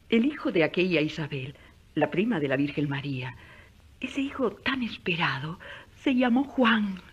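Breathy, gentle new mother. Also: medium pitch, lo-fi sound. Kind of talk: radio drama acting.

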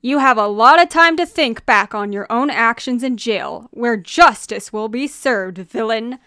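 A woman's voice: a little high, a little slow, sharp tone, smooth, dry recording, studio quality. Woman putting on a stern, declamatory male superhero. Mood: stern, smug. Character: stern, self-righteous male superhero lawman.

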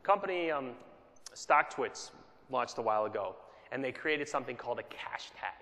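A man's voice: mid-range voice, animated, moderate pace, pushed and bright. A man giving a conference keynote, conversational, often checking in with the audience by asking "right?". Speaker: keynote speaker. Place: conference hall. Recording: long hall reverb.

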